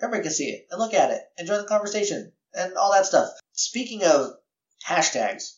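A man's voice: high-pitched, very animated, a little fast. Man, podcast host, slightly scratchy voice, rambling, self-correcting, roomy and a bit dull recording. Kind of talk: casual announcements.

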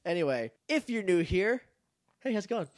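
A man co-hosting a casual podcast, chatty and quick to laugh, with a slightly nasal voice. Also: sits high, no echo, clean studio sound. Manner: chatty, laughs often, animated. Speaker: podcast co-host. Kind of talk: casual chat.

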